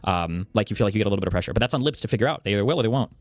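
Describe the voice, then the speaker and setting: A man's voice: lowish voice, animated, rapid-fire, no room sound. A man talking casually in an online discussion.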